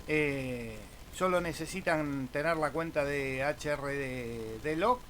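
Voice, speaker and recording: A man's voice: on the high side, a bit bright, rough recording. A man giving an informal, casual screen-share tutorial as an amateur radio YouTuber, often tagging phrases with a questioning "eh?". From Argentina. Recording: clear background noise.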